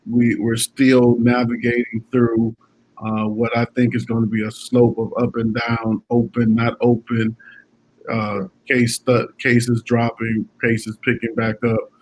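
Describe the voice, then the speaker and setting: A man's voice: medium pitch, completely flat delivery, measured pace, darkish tone, low-quality recording. A man hosting an interview, asking questions thoughtfully with many filler words. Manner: thoughtful, many ums and short affirmations. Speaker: interview host. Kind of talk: casual interview conversation.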